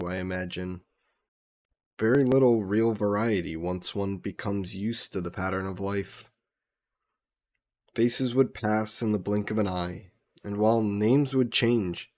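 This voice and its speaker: Man playing a slow, low-voiced gentle vampire scholar. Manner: courteous, reflective, musing with hesitant pauses. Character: gentle, wistful, gently teasing immortal male vampire scholar. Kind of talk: intimate scripted audio roleplay.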